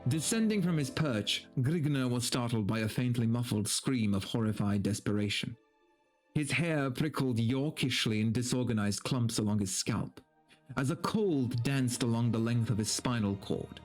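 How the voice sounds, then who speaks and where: A man's voice: mid-range voice, animated, normal speed. A man doing a dramatic story reading as a streamer, switching between theatrical narration and casual, amused, profane commentary. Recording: background music under the voice. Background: video game music.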